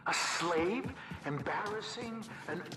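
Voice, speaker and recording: Theatrical villain, echoey and distant. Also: male voice, high voice, expressive, slow pace, music in the background. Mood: taunting menace. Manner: menacing, mannered, lingering on words. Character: sadistic, theatrical Nazi commander villain, male. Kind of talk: theatrical video game villain acting. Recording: echoey, distant, very noisy ambience.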